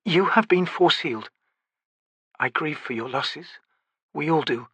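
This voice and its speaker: A man voicing a gentle young woman soldier, speaking softly and formally, a little higher than his own voice. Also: measured pace, breathy edge, no echo, exaggerated voice.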